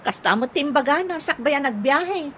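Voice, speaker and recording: An actress playing a sensible grown daughter and elder sister in a comedy radio drama, matter-of-fact and gently admonishing. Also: female voice, high voice, expressive, moderate pace. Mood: calm, confiding. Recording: clearly noisy ambience, birds behind.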